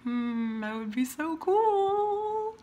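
A young woman rambling in a casual vlog rant, with a loud, projecting voice, chatty and prone to wandering off and interrupting herself, recorded on a phone held at arm's length in a room at home. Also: very high-pitched, sing-song, extremely slow, slight background noise. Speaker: vlogger. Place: indoors by large windows, home room. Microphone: phone camera at arm's length.

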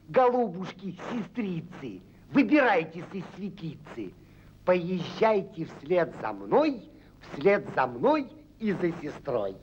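A grand fairy-tale tsar who declaims his verse slowly, with sweeping rises and falls. He is commanding and benevolent, in old cartoon style, over the hiss of a worn 1940s soundtrack. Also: fairly high pitch, a little mellow, rough recording. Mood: solemn, courtly. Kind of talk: 1940s animated film acting, verse.

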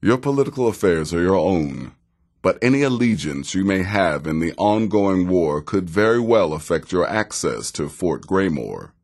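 An actor playing a sardonic middle-aged desert assassin in a fantasy video game, with a very deep, gravelly voice and a dry, measured, theatrical delivery. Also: male voice, animated, darkish tone.

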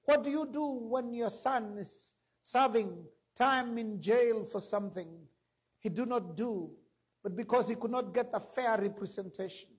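A man's voice: very high-pitched, a little slow, breathy edge. A middle-aged man preaching a sermon from a church stage into a handheld mic, emphatic and rising to exclamations. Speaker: pastor.

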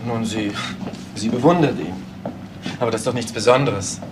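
A man's voice: fairly low pitch, normal speed, noisy background, lightly acted. A man playing an earnest, loyal scientist in a German crime radio drama. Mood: earnest, defensive. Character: earnest male scientist, loyal and admiring colleague.

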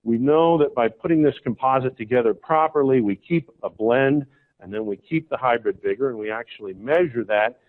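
A man from the United States giving a folksy, persuasive conference talk, often checking in with the audience. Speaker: conference speaker, cattle breeder. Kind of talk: conference presentation. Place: conference hall stage. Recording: tinny, phone-like low-fi recording.

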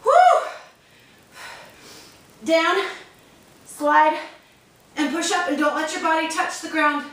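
A young adult woman with a croaky voice from a cold, chatting casually and coaching a workout in a garage. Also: sits high, steady tone, takes it slowly, fairly bright tone. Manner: chatty, upbeat, counts reps while exercising. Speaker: fitness vlogger. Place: large garage with metal door. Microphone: far camera. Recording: echoey garage from far off.

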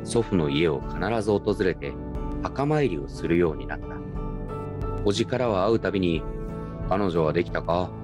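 A man's voice: deep voice, expressive, normal speed, music in the background. A man giving scripted first-person narration for a radio drama.

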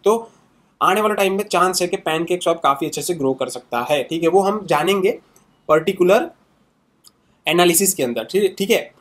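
A man's voice: high voice, moderate pace, a bit bright. Young man giving casual market analysis. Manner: conversational, fillers and tags after many sentences. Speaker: crypto channel host.

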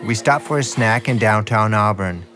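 A man's voice: lowish voice, normal speed, music in the background. A man reading scripted documentary narration as the reporter who made the piece.

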